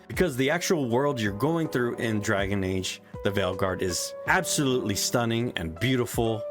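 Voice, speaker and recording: Man, young adult, casual reviewer, blunt and profane, medium pitch, soft background music. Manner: frank, swears freely. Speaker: game reviewer. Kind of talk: casual spoken video review.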